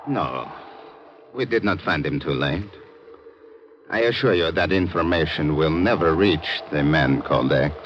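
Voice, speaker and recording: A cold Soviet officer in a fifties radio thriller. He speaks very low, with measured, certain menace. The old recording is dull and hissy. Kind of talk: 1950s radio drama acting.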